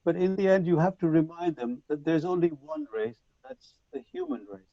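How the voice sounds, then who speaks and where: A man whose first language is Punjabi, giving an online lecture to teachers in a measured, reflective way with frequent restarts, heard over a video call. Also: sits high, very animated, vocal fry, warm tone, dry recording. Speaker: conference speaker. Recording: dull lo-fi video call audio.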